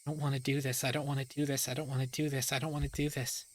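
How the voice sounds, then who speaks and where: A man's voice: medium pitch, level tone, rapid-fire, close to an everyday voice. A man voicing a frightened, anxious young male protagonist in a visual novel, repeating himself in dread. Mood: fearful, hushed. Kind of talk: dramatic visual novel reading.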